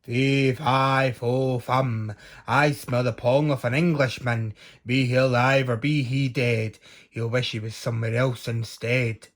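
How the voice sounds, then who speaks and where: A man voicing a booming, grumpy male giant who roars his chant. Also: sing-song, unhurried, husky, heavily acted. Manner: loud chanting roar.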